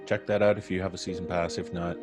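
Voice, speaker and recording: Low-voiced man hosting, music behind him. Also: flat delivery, fast. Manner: jokey, informal. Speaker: host of a horror audio drama podcast live stream. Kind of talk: casual live-stream chat.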